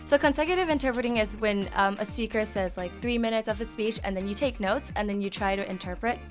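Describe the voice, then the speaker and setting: A young woman in her twenties with an American accent, speaking as a radio guest. She explains things clearly and often pauses on "um". Faint music sits behind her voice. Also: medium pitch. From Taiwan. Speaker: guest, interpreter.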